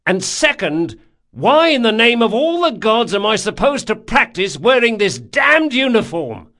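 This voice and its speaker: A man's voice: sits high, sing-song, unhurried, pitched above the performer's own voice, heavily acted. Man acting a bellowing, mock-furious young sea captain. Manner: shouted commands, theatrical insults. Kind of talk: audiobook character acting.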